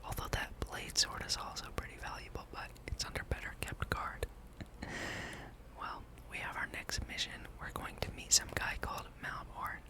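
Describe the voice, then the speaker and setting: Whispering ASMR gamer, gently rambling. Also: moderate pace. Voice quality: breathy, unvoiced whisper. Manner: gentle, rambling, musing aloud. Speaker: gamer and video host.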